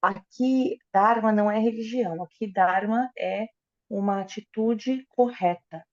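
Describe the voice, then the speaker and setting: A woman's voice: medium pitch, measured pace, a little mellow, lo-fi sound. A woman interpreting a lecture into Portuguese, often hesitating and correcting herself as she goes. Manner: hesitant, with fillers and self-corrections.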